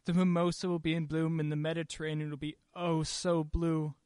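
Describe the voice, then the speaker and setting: An actor playing an evasive husband in a radio play, theatrical and patronizing, smooth but quick to get irritable. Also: male voice, fairly high pitch, little pitch movement, darkish tone, no room sound, studio quality, close to an everyday voice. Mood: coaxing.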